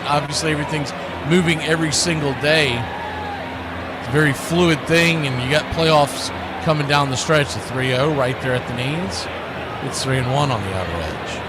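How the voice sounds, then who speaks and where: A man's voice: fairly high pitch, normal speed, background noise. A man calling baseball play-by-play as a live stream host, mixing in casual chat and blunt, folksy asides.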